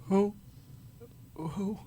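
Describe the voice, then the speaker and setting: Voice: mid-range voice, fairly even intonation, extremely slow, dark tone, husky. An actor playing a frightened, remorseful old miser in a radio drama, pleading and agitated. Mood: nervous, humbled.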